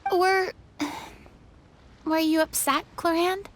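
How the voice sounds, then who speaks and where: High-voiced curious young heroine. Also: female voice, fairly even intonation, slow pace, a little hiss or hum. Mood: concerned, musing. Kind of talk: video game voice acting.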